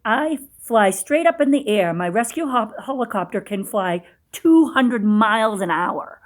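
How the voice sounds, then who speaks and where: Female storybook reader, fairly high voice, teacherly, explains simply and puts questions to young listeners. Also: lively intonation, a little slow. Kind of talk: read-aloud with simple explanations for young children.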